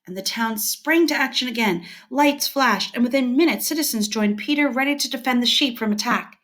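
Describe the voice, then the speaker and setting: A woman teacher reading scripted educational material aloud in a clear, deliberate and expressive way. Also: fairly high pitch.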